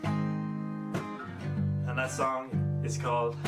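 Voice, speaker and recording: A woman's voice: rumbling low, monotone, very slow. A young woman performing a comic acoustic song in a dry, understated way. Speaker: singer-songwriter performer. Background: loud plucked guitar.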